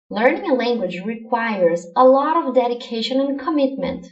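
A Brazilian woman whose first language is Portuguese, hosting her own podcast and giving clear, encouraging language-learning advice from a script. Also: a little high, roomy sound, distant mic, lo-fi sound. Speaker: podcast host, language coach. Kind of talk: scripted solo podcast lesson.

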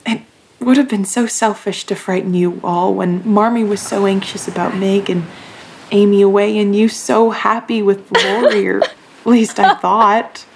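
Actress as frail nineteen-year-old sister for period radio play: gentle, resigned, halting, medium pitch, noisy hiss behind. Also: female voice, steady tone, creaky, close to an everyday voice. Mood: tender. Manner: soft-spoken, halting, earnest. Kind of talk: audio drama acting of a period novel.

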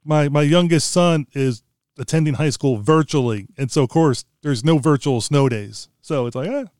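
A man's voice: fairly high pitch, moderate pace, darkish tone, clear, resonant tone, dry recording, clean studio sound. A middle-aged American man hosting a podcast in a casual, conversational interview style. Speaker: podcast host interviewing a guest.

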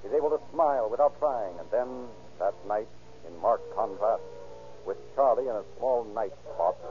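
A man's voice: fairly low pitch, moderate pace, mellow, natural voice. An American man narrating a vintage radio drama in a dramatic, literary style. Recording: muffled old radio recording with music.

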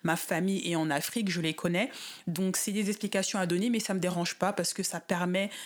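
A woman from the Paris suburbs in her thirties, talking casually as an interview guest with frequent pauses and restarts. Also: on the low side, fairly even intonation. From France, Paris suburbs. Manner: thoughtful, many fillers and restarts.

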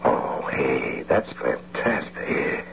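A man's voice: a bass voice, very animated, drawn-out words, fairly bright tone, heavily acted. An American man acting a bold, wisecracking bank burglar who tells of his heist like a sly storyteller in a radio drama. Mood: probing, sly. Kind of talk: 1970s radio drama acting and first-person narration. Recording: muffled, very noisy old radio recording.

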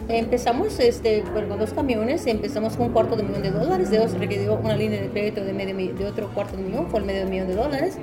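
A woman's voice: medium pitch, fast, hardly any pauses, loud music behind the voice. A middle-aged woman from El Salvador with a Salvadoran accent, a business owner answering interview questions in a loose, rambling way with many restarts.

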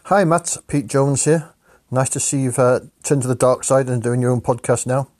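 A man's voice: medium pitch, normal speed, a little mellow. A man leaving a hesitant voice message full of fillers for a podcast. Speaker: caller. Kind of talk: recorded voice message.

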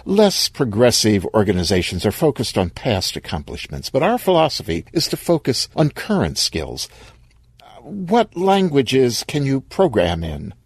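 A man voicing a chirpy, smugly corporate woman from human resources in a story, bright, singsong and condescending. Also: mid-range voice, wildly swooping pitch, a little slow, husky, dry recording, voice pushed up, heavily acted. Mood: smug cheer.

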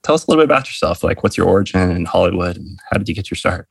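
A man hosting a podcast, reading a measured, articulate introduction and then interviewing a guest. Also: deep voice, expressive, gravelly, slightly rough, no echo, studio quality. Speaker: podcast host.

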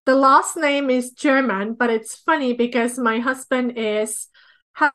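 A woman in her thirties from Estonia with an Estonian accent, a business coach telling her story as a podcast guest in relaxed, chatty interview talk. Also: high voice, a little slow. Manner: storytelling, relaxed with light laughs.

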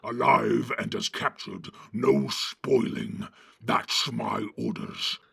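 A man voicing a commanding, boastful big orc captain in a deep, blunt growl for an audiobook. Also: lively intonation, slow, creaky, fairly bright tone, husky, dry recording, clean studio sound, heavily acted. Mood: gruff, commanding. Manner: blunt, domineering. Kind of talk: audiobook character voice.

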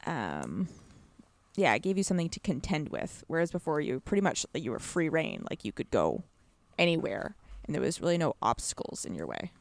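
A young woman chatting casually as a podcast guest about a video game, rambling in an animated way and often saying "like". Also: low-pitched, a little fast, vocal fry. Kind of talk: casual chat.

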